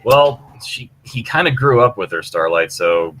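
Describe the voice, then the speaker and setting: A man's voice: medium pitch, lively intonation, moderate pace, a bit bright, slight background noise. A man co-hosting a casual fan discussion of a cartoon, acting out quotes as he retells scenes and swearing casually. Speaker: podcast co-host.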